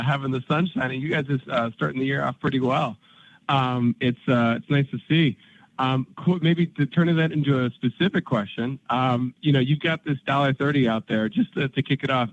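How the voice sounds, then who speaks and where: A man's voice: medium pitch, no echo, highs cut off. A man, a financial analyst, asking questions in a casual, upbeat, rambling way. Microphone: phone.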